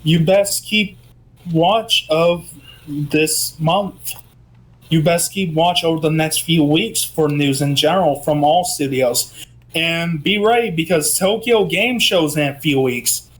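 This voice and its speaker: Slow-talking man losing his voice. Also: fairly high pitch, rough recording. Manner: unhurried, with frequent ums. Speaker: co-host, gaming news presenter. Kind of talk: casual chat.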